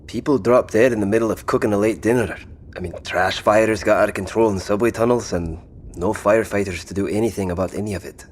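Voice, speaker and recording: He is a wary survivor in an apocalyptic audio drama played by a man. His fairly low voice is plainspoken, with a dry, blunt way of putting things. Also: fast, a little mellow, lightly acted. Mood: grim, dry.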